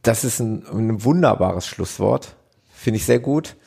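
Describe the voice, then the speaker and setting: A man's voice: fairly low pitch, expressive, a little slow, vocal fry, warm tone, slight background noise. A man hosting a podcast, interviewing guests in an enthusiastic, affirming way. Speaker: podcast host. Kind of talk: casual interview.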